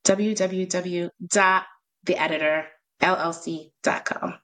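Guest: a New York woman in her thirties, a bit low-pitched, laughs easily, talks in bursts. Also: steady tone, slow, fairly bright tone. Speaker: guest, professional home organizer. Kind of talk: casual podcast conversation.

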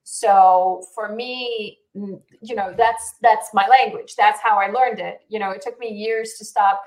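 A woman's voice: medium pitch, fairly even intonation, measured pace, darkish tone, rough recording. Chatty woman with a light South African accent. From Israel. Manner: chatty, laughs easily, many fillers. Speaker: realtor and guest. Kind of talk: casual interview answers.

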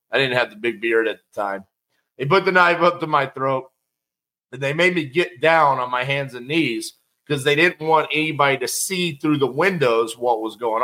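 Bright-voiced man telling a story. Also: fairly high pitch. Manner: conversational, animated, acts out quotes. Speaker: podcast host telling a personal story. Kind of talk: casual storytelling monologue.

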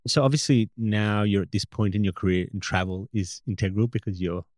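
A man hosting an interview, asking questions and adding thoughtful comments in a measured, articulate way. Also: fairly low pitch, fairly even intonation, darkish tone, no echo, studio quality. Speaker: interviewer, host.

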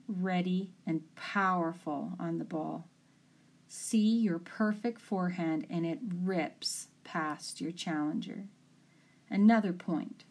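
Slow, low-voiced woman guiding a meditation. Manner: calm, measured, instructional. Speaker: sports mental coach leading guided imagery. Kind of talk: guided meditation narration.

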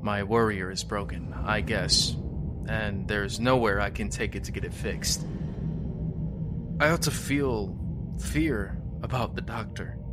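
A man playing a lonely, weary survivor broadcasting after an apocalypse in an audio drama, musing in a halting, understated, resigned way. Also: on the low side, lightly acted. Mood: resigned, numb. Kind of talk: audio fiction monologue. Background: music.

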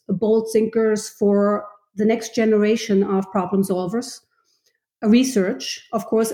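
German accent lady explaining stuff slow and careful, mellow voice, roomy echo. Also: medium pitch. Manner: thoughtful, measured, with frequent ums. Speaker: university vice president. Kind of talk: podcast interview.

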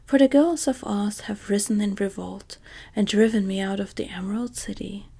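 A woman voicing a gentle, wise scarecrow king in a soft whisper. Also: mid-range voice, moderate pace, vocal fry, breathy edge. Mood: aggrieved.

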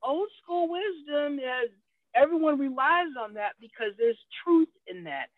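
A woman's voice: high voice, lively intonation, a little slow, no room sound. A woman co-host rambles encouragingly as she asks questions. Her voice comes through a thin, phone-like line, and the sound is dry and close. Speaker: co-host interviewer. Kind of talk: interview chat.

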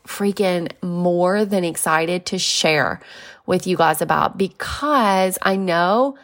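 A woman in her thirties talks casually through her own podcast, her voice stuffy and nasal from congestion. She speaks at an unhurried pace with a middling pitch, filling her talk with fillers and little laughs. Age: 30s. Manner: chatty, lots of fillers and laughs. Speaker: podcast host. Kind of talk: casual solo podcast chat.

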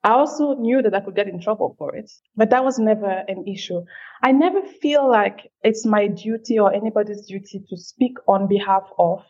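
A woman from Cameroon, a guest answering interview questions in a thoughtful, measured way that quickens on asides. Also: medium pitch, dark tone, dull audio. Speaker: guest, feminist leader.

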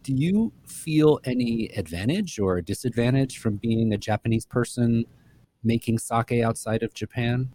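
Guy interviewing someone, mid voice, slow careful questions. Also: mid-range voice, animated, mellow.

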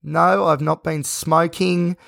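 A sleep-deprived man with a croaky voice, talking in a rambling, self-reflective personal monologue as host of his own show. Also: fairly high pitch, steady tone, slow, fairly bright tone, dry recording, clean studio sound. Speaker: podcast host.

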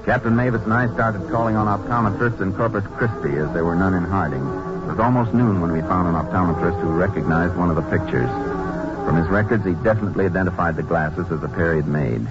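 Actor as a low, laconic Texas Ranger. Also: male voice, fast, over loud music, natural voice. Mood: matter-of-fact. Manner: laconic, matter-of-fact. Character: calm, terse Texas Ranger investigator. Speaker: lead actor and first-person narrator. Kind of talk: 1950s western radio drama acting. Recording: muffled old radio recording.

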